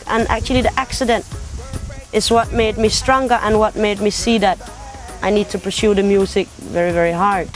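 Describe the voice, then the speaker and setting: A young woman from Denmark speaks in a talkative, casual way, her English mixed with patois turns of phrase. She sounds like a competition finalist telling her own story. Faint music plays behind her. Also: mid-range voice. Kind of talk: casual interview.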